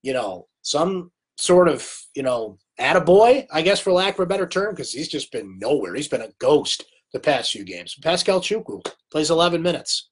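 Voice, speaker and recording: A man's voice: on the high side, expressive, normal speed, few pauses, slightly rough. A man hosting a live sports recap stream, casual and opinionated, answering viewer comments while losing his voice to a cold. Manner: chatty, opinionated, reads viewer comments aloud.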